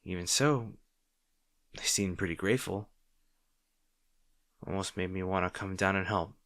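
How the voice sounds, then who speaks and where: A man's voice: sits low, a little slow, a bit bright, no room sound, studio quality. A man playing an arrogant but secretly caring supervillain rival, bantering with the listener in an audio roleplay. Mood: grudging admiration. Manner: teasing, bantering, grudgingly sincere.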